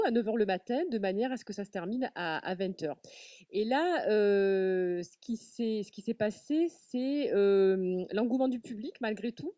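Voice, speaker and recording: A woman on a panel, speaking animatedly but halting often with fillers and restarts. Her voice is mellow and soft-edged, and it was recorded dry from a mic set back from her. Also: medium pitch, dry recording, distant mic. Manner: many fillers and repeated words, animated. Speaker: festival representative on a panel. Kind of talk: panel talk.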